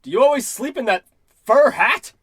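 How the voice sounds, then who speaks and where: Man playing a high-voiced, jumpy radio-comedy salesman. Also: expressive, moderate pace, a bit bright, husky, no room sound, clean studio sound, full character voice. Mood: panicked. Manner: anxious, exasperated. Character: nervous, jumpy male travelling salesman, whiny sidekick. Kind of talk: parody old-time radio comedy acting.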